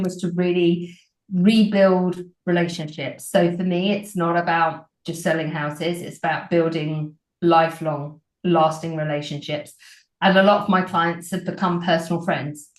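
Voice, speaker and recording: Earnest businesswoman, 40s, slightly low voice, roomy recording. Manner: earnest, many ums and restarts. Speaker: real estate agency owner and broker. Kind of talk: interview answers. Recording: roomy echo.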